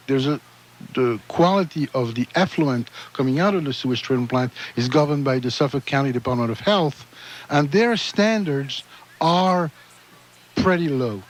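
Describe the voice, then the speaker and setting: A man's voice: on the high side, moderate pace, poor audio. A man from France with a French accent, a guest architect on a radio interview show, explaining with frequent restarts. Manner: hesitant, many restarts.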